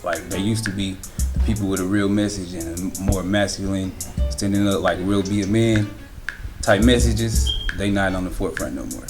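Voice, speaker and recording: Emphatic low-voiced man in his thirties. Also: normal speed, over music. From Hot Springs, Arkansas. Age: 30s. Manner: emphatic, casual. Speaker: interviewee, musician. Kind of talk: casual opinion interview.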